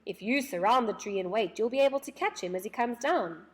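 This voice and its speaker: A woman's voice: on the high side, expressive, a little fast, lightly acted. A woman voicing a bold, alert young boy in a children's storybook, with an urgent, decisive manner. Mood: triumphant.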